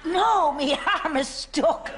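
A woman's voice: sits high, expressive, slow pace, heavy background noise. A woman playing a kindly, dithery older neighbour with a Dublin accent in a sitcom. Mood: flustered. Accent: Dublin Irish. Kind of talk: sitcom acting.

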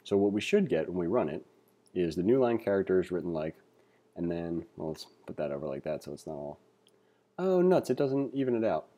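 A man giving a read-along tutorial as an instructor, reading aloud and adding informal asides as he thinks out loud. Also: low voice, big pitch swings, fairly quick. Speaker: programming instructor. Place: indoors, screen-recording voice-over.

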